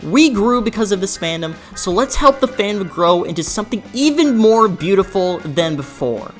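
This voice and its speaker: Young adult doing earnest video essay voiceover, really animated intonation, bit of music behind. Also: mid-range voice, normal speed. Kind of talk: scripted video essay narration.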